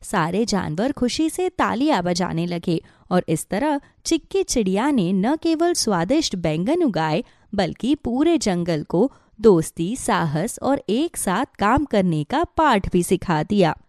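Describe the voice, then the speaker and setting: A woman's voice: medium pitch, big pitch swings, a little slow, hardly any pauses, darkish tone, no room sound, clean studio sound. A woman narrating a scripted children's animal story.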